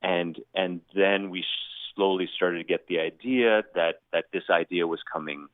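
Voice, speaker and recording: A man, a journalist being interviewed, talking in halting bursts full of fillers and restarts. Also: deep voice, steady tone, no room sound. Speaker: journalist guest. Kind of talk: phone-in radio interview. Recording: tinny phone line.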